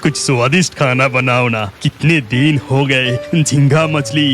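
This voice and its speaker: A man voicing an excitable, food-loving village husband in a Hindi cartoon story, with expressive exclamations. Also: medium pitch, a little slow, faint music behind, lightly acted. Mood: hungry, wishful.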